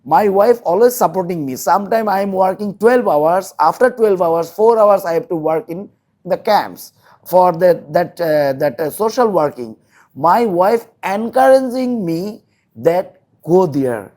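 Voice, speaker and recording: Slow-talking Bangladeshi man, earnest and halting. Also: high voice, lively intonation, a little mellow. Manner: halting, repeats phrases, earnest. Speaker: interview guest, volunteer social worker. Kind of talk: earnest interview talk in non-native English.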